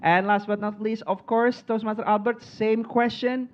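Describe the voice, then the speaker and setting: A man's voice: high-pitched, steady tone, normal speed. A man acts as emcee at a club meeting. He thanks the speakers with stretched-out praise and asks friendly questions. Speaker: evening's Toastmaster, emcee. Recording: dull recording with faint noise.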